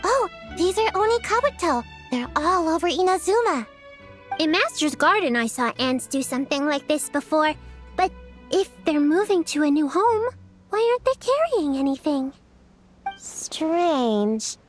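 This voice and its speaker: A woman's voice: sits high, very animated, measured pace. A woman voicing a bookish young girl in a video game, lecturing precisely and earnestly with some self-importance. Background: music.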